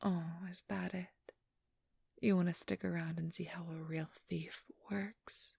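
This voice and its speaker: A woman acts out an ageless female vampire thief in an intimate ASMR role-play. Her very husky, breathy whisper crackles with fry and moves slowly, haughty and teasing, right up at a dry microphone. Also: fairly low pitch, fairly even intonation, dark tone, dry recording, full character voice. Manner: condescending, teasing, drawn-out delivery. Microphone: close, dry microphone.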